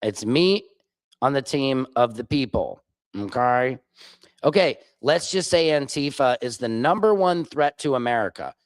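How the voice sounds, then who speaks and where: A man hosts a political livestream from a laptop at home, his voice heated and indignant as he argues back at clips and chat. The sound is close and dry, with no room echo. His pitch sits at an ordinary middle level for a man. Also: animated, measured pace. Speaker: livestream host.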